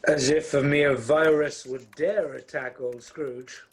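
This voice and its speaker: A man narrating a scripted radio play in a wry, measured storytelling manner. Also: a little high, fairly even intonation, crowd noise.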